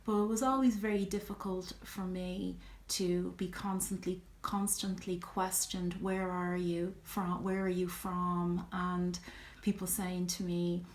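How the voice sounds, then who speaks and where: A middle-aged woman with an Irish accent, talking reflectively in a personal vlog, pausing often with ums. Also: on the low side, flat delivery, echoey room. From Ireland. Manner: reflective, earnest, many ums and you-knows. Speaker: vlogger.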